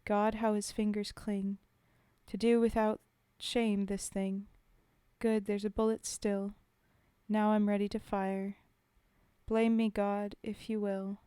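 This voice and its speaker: A woman reading verse in the voice of a rough, common male soldier from the First World War who mourns a fallen comrade, speaking in folksy working-class dialect. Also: mid-range voice, flat delivery, takes it slowly, warm tone, dry recording, studio quality, lightly acted. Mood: wistful. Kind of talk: poetry reading.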